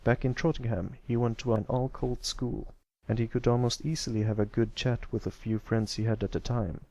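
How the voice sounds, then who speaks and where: A man narrates a storybook tale in a mid-pitched voice with a gravelly creak. The recording is close and dry, and he reads as an amateur audiobook narrator. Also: level tone, normal speed, a little mellow, no room sound, muffled recording.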